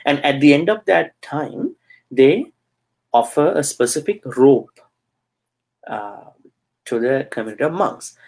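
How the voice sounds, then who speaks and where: Gravelly hesitant Sri Lankan-born monk. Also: male voice, medium pitch, lively intonation, a little slow, long pauses, a little mellow. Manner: hesitant, many fillers. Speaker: Buddhist monk. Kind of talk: casual interview answers.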